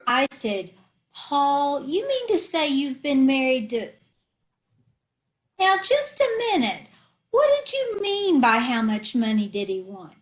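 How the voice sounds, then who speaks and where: A woman's voice: high-pitched, very animated, slow, full character voice. A woman playing a scatterbrained wife who mangles words, in old-time radio comedy style. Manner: ditzy malapropisms.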